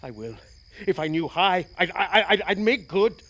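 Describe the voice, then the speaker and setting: An actor playing a bitter, sardonic and frightened passenger in a radio play, with a wry, theatrical manner. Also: male voice, sits high, very animated, normal speed, a bit bright, heavily acted. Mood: panicked, pleading.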